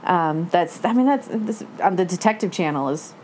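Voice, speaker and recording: Female book club panelist, thirties, lowish voice, informal and halting, slight room noise. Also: lively intonation, a little mellow. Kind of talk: casual chat. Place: living room, indoors.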